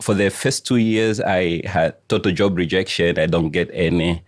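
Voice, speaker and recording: A middle-aged man from Tanzania, a coach answering interview questions slowly, pausing often as he searches for words. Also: sits low, fairly even intonation, no room sound, studio quality. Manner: slow, searching phrasing with frequent "uh" pauses. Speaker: guest coach. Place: studio.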